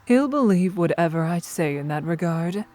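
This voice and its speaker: Commanding, tender goddess queen for mythology audio drama, played by a woman: low, dark-toned, measured, persuasive. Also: animated, lightly acted. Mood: coolly reassuring.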